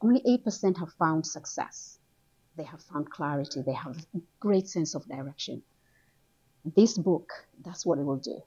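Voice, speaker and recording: A middle-aged woman from Somaliland, raised in Kenya and England, telling her story as an author guest in an animated, conversational way. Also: moderate pace, husky. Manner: animated storytelling, rises high when excited. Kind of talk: conversational interview. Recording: dull lo-fi sound.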